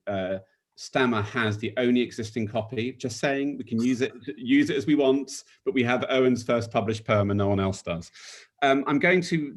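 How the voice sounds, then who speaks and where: A man hosting a webinar, reading out audience questions in an informal, hesitant way with many fillers. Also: medium pitch. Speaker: webinar host, author. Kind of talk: moderating questions. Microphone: video call.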